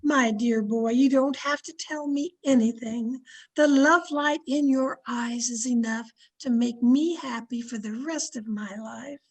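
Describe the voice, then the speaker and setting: A woman's voice: on the high side, unhurried. A woman acting a gushing, sentimental older aunt and busybody matchmaker in an old-time radio comedy, fluttery in manner. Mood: gushing, delighted.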